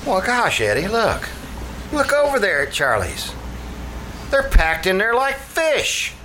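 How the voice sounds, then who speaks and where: A man's voice: high voice, wildly swooping pitch, takes it slowly, exaggerated voice. A man in a radio comedy troupe playing a scheming tavern manager with a working-class dialect, given to mangling his words. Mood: exasperated. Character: scheming, malaprop-prone New York tavern manager. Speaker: actor in a stage troupe. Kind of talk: live old-time radio comedy acting. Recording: live theater recording with background noise.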